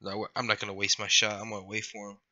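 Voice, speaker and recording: A man's voice: fairly low pitch, little pitch movement, fast, sharp tone, highs cut off. A young man with a scratchy voice after being sick, rambling and apologizing through casual gameplay commentary. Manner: rambling, apologetic, lots of fillers. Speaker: gaming youtuber.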